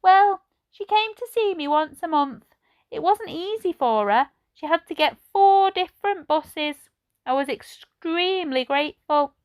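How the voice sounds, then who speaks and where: Woman voicing a kindly high-pitched old lady. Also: animated, unhurried. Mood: gentle, reminiscing. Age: older. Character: kindly, frail old lady, grateful and fond. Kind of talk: children's storybook character voice.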